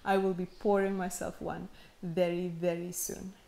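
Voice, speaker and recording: A woman in her thirties, heavily pregnant, delivering a sincere, measured acceptance speech with gentle humor, recorded at home in her living room. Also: fairly low pitch, little pitch movement, vocal fry. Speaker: prize winner giving acceptance speech. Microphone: camera in front, seated framing.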